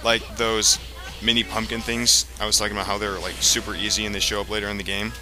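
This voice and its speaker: A man giving casual, explanatory gameplay commentary in a halting way, as the host of a let's play. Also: on the low side, little pitch movement. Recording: game music behind the voice. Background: video game music.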